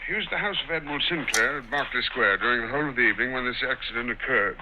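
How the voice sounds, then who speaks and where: A portly, self-assured armchair reasoner, played by a man, who rattles off facts quickly in a dry, measured voice. His pitch sits mid-range for a man. The old broadcast sound is muffled and faintly hissy. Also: close to an everyday voice. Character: portly, languid older brother, armchair reasoner. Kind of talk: 1940s radio acting. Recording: muffled old radio recording with faint hiss.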